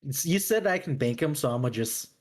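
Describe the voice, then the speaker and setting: Guy playing a tabletop rpg, lively up and down pitch, casually mulling stuff over. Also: fairly quick. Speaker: player creating a character. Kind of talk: casual chat, thinking aloud.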